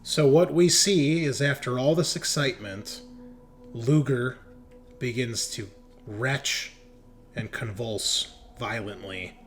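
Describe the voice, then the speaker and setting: A man's voice: mid-range voice, slow pace, soft background music. A man running a tabletop roleplaying game, improvising descriptive narration and calling out rules. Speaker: game master.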